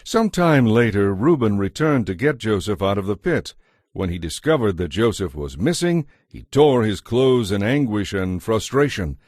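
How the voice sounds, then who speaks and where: A man's voice: on the low side, sing-song, normal speed, a little mellow, no room sound, clean studio sound. A man giving a scripted narration as the narrator of a dramatized audio Bible.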